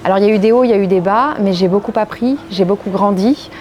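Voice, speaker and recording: Frenchwoman in a measured interview, noisy background. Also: mid-range voice, a little mellow. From France. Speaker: interviewee, corporate legal and compliance executive. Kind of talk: interview answers.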